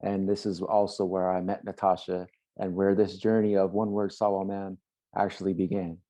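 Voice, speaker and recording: Man presenting slides on a video call; very low and warm, plain explanatory delivery. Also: little pitch movement, moderate pace. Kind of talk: online presentation.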